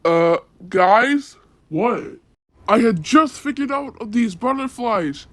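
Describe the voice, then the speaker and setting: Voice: medium pitch, expressive, slow pace, fairly bright tone, no room sound. A person acting an alarmed, bewildered onlooker in an amateur disaster drama, speaking hesitantly with exclamations. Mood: worried. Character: bewildered, alarmed onlooker watching butterflies attack.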